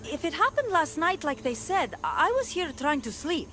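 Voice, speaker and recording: A woman's voice: high voice, very animated, normal speed, fairly bright tone, slight background noise. An actress playing an anxious, starstruck young woman in a video game, gushing and pleading.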